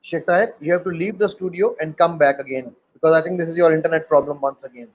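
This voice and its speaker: Man with a high voice. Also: fast talker, highs cut off. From Pakistan. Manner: hesitant, many fillers and restarts. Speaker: host. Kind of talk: moderating an online panel discussion.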